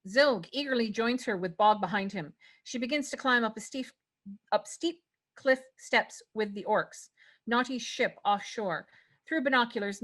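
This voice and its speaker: A woman's voice: medium pitch, fairly bright tone. A woman reading stage directions as scripted narration at a table read.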